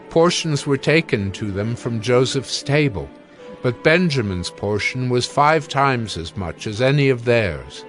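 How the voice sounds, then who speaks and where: Male narrator, mid-pitched, measured scripture reading over soft music.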